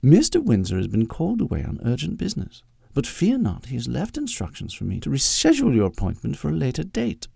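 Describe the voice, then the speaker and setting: A man's voice: mid-range voice, wildly swooping pitch, brisk, gravelly, mellow, slightly rough, dry recording, studio quality, voice pushed up, exaggerated voice. A man voicing a prim, imperious elderly British woman, a secretary in an audiobook story. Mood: politely forced.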